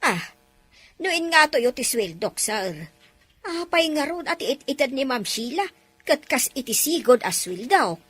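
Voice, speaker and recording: A woman's voice: high voice, sing-song, measured pace, a bit bright, no echo, full character voice. A woman voicing a timid, loyal middle-aged housemaid who answers her employers with deference in a radio drama. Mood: deferential. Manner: deferential and flustered, keeps saying sir and ma'am.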